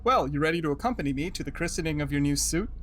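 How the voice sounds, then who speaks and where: A man's voice: on the high side, animated, rapid-fire, fairly bright tone. A man playing a breezy, casual sailor in an amateur audio drama. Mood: teasing. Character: breezy, teasing male sailor.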